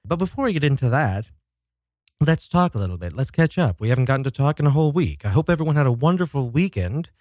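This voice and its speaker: A man's voice: medium pitch, big pitch swings, fast talker, a little mellow, no room sound, clean studio sound. A man hosting a casual solo podcast, telling stories dramatically with chatty asides and some swearing. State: coming down with a cold. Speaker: podcast host. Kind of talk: casual solo talk show about horror films.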